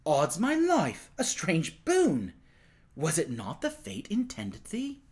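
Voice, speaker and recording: A man's voice: on the high side, huge pitch swings, slow, a little mellow, slightly rough, full character voice. A man voicing a compassionate young boy king in an audiobook, speaking earnestly in archaic phrases. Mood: amazed pity. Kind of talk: dramatized audiobook reading.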